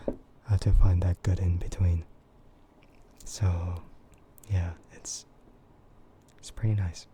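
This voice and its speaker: A young man streaming, talking in a soft, half-whispered voice, hesitant and full of fillers and quiet laughs. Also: low voice, fairly even intonation, slow, long pauses, noisy background. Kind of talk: soft-spoken ASMR chat with viewers.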